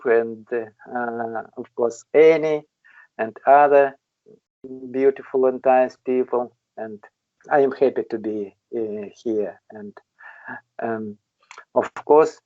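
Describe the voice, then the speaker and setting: Slow, halting older man. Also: medium pitch, warm tone, dry recording. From Kalmykia, Russia. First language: Kalmyk. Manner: halting, with many long hesitations. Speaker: English learner in an online conversation group. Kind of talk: casual chat. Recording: muffled, dry online call.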